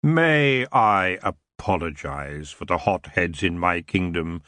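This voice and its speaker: A man voicing the antlered king of the elves, smooth and languid, in an audiobook reading. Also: sits low, expressive, slow pace, sharp tone, no echo, voice pushed down, exaggerated voice. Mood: lazy. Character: ancient stinking antlered male elf king, lazy and menacing.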